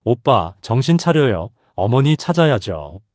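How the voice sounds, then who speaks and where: A man's voice: mid-range voice, sing-song, a little slow, a little mellow, dry recording. A man voicing a young woman who pleads with and urges on her distraught older brother in a story reading. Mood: urging.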